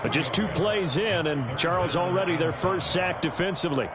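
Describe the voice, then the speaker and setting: A man voicing an adult football play-by-play announcer in a video game, calling each play in a brisk, measured way. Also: on the high side, noisy background, close to an everyday voice. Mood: steady calling. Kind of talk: video game sports commentary.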